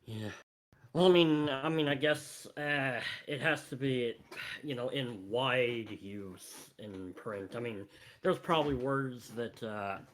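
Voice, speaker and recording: A man in casual conversation as a podcast panelist, tentatively thinking aloud. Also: mid-range voice. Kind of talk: casual chat.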